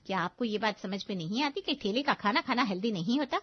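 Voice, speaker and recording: A woman's voice: mid-range voice, expressive, fast, breathy edge. A woman playing a strict, concerned schoolteacher in a cartoon, firm and lecturing. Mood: scolding. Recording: dull lo-fi audio.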